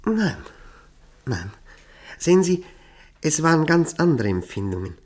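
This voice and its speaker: A man's voice: on the high side, very animated, measured pace, a little mellow, breathy edge, full character voice. A man acting a courteous, nervous former prisoner in a radio play, speaking hesitantly and reflectively with formal politeness. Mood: intense, fascinated. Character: haunted Austrian lawyer, former Gestapo prisoner, courteous and nervous. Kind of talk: German radio play acting, confiding narration.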